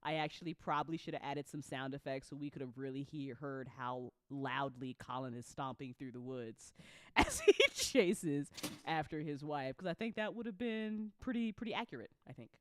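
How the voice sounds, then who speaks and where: Animated, clear-voiced host chatting casually. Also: mid-range voice, normal speed, no echo. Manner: effusive, slangy, prone to laughing. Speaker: host of a fiction podcast after-show. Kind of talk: excited casual chat.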